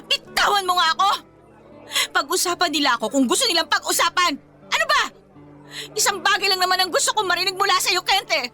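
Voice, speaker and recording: A woman's voice: very high voice, animated, quick pace, bright, forceful tone, soft background music, full character voice. An actress with a Filipino accent playing a jealous, confrontational young woman in an emotional radio romance drama. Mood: indignant. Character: jealous, fiery young woman, betrayed girlfriend.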